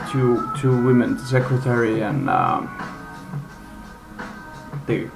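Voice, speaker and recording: Slow, gravelly man rambling off the cuff. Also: mid-range voice, low-quality recording. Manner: halting, rambling delivery. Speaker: amateur movie reviewer. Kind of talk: unscripted casual rant review. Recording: homemade, radio music playing behind. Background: music from a live radio.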